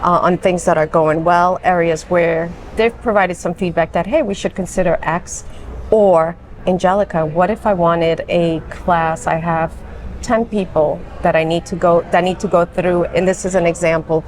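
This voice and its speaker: A woman's voice: fairly low pitch, steady tone, slight background noise. A woman in her forties from Colombia, raised in New York, with a Long Island accent, talking casually as an interview guest and readily agreeing. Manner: friendly, agreeable, quick affirmations. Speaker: guest, education manager.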